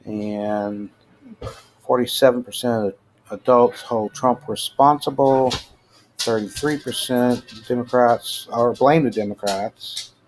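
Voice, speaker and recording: A middle-aged American man giving casual, halting news commentary as a host, informal and prone to trailing off. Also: medium pitch, fairly even intonation. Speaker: host of a personal rant show. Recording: clearly noisy amateur home recording.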